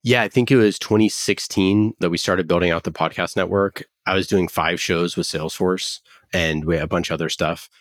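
A man's voice: low-pitched, fairly even intonation, brisk, dry recording, studio quality. An American man telling his story as an interview guest in a conversational, explanatory way. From Oakland, United States. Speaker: podcast company founder, interview guest.

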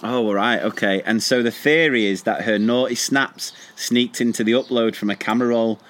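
A British man and teacher co-hosting a casual podcast, chatty and quick to laugh as he reads listener stories. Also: mid-range voice, a bit bright. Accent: British English. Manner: chatty, laughs often, trails off and restarts. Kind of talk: casual podcast chat, reading listener messages.

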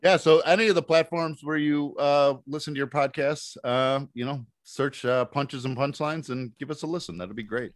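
A man speaking as a podcast guest, telling stories casually in a halting, wryly self-mocking way. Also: a little high, no echo, clean studio sound. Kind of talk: casual anecdotal storytelling.

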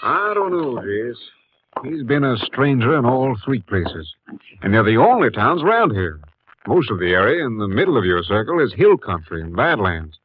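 Husky, terse police captain. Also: male voice, medium pitch, big pitch swings, moderate pace. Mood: sceptical. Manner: terse, businesslike, sceptical. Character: gruff, weighing male police captain, senior lawman. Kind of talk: 1950s radio drama acting. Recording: muffled 1950s radio recording.

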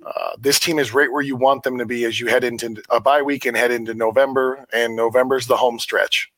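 A man, a former player, giving measured post-game analysis from a stadium press box. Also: medium pitch, fairly even intonation, a bit bright, dry recording, low-quality recording. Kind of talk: sports analysis talk.

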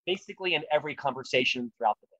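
A man's voice: mid-range voice, expressive, rapid-fire, pushed and bright, dry recording, highs cut off. A man with a lifelong stutter, speaking earnestly and reflectively as an author being interviewed about leadership. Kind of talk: podcast interview conversation.

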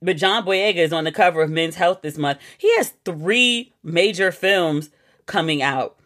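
A woman's voice: lowish voice, a little slow, fairly bright tone. A woman hosting a podcast by herself, chatting casually and gushing playfully as she talks. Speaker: podcast host. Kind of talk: casual solo podcast chat.

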